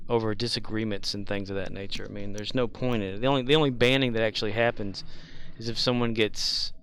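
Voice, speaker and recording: A man's voice: fairly low pitch, steady tone, a bit bright, slight background noise. Rambling casual male streamer. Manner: rambling, halting, with many fillers and restarts; laughs along with chat. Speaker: live streamer. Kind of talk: casual chat while gaming.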